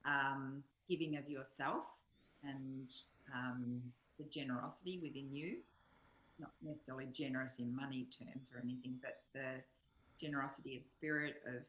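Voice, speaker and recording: Low-pitched woman in an echoing room. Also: fairly bright tone. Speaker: study group co-host. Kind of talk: reflective personal discussion. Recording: roomy, echoing sound.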